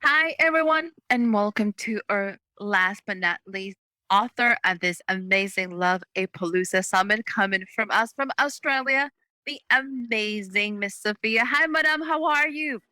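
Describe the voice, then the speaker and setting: Bright, effusive woman hosting. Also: mid-range voice, measured pace, no echo. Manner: effusive, enthusiastic. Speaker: host. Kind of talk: upbeat interview hosting.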